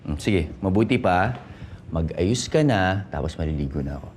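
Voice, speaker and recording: An actor playing a caring, playful young boyfriend in a romantic drama, coaxing in casual Taglish with a Filipino accent. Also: male voice, low-pitched, expressive, moderate pace, a little mellow, slight background noise. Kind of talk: naturalistic romantic TV drama acting.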